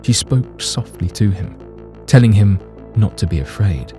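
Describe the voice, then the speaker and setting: Guy reading an audio drama, kinda deep voice, grave dramatic storyteller, music going behind him. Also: expressive, unhurried. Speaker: narrator. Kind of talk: dramatic scripted storytelling for an audio comic book.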